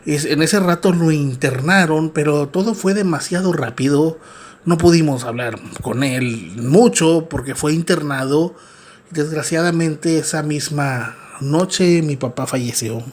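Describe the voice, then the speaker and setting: A middle-aged man from Mexico with a northern Mexican accent, narrating scary stories as host in an unhurried, earnest way with filler pauses. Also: fairly high pitch. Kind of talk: storytelling podcast narration.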